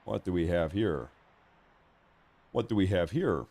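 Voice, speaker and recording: A man with a slightly low-pitched voice hosts a live news stream. His delivery is informal and reactive, like someone chatting casually as he follows events. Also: animated, unhurried, warm tone.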